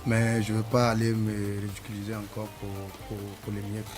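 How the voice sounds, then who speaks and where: Low-voiced man, halting speech. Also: monotone, over music. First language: Sara. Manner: halting, repeats words while searching for them. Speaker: musician guest. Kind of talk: casual interview answers.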